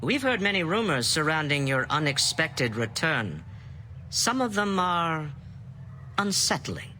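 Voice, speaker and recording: Alien councilor, sharp timbre, very varied pitch, deliberate, grave, game cutscene. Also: male voice, big pitch swings, slight background noise, full character voice. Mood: ominous. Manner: deliberate, formal. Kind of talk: video game cutscene acting.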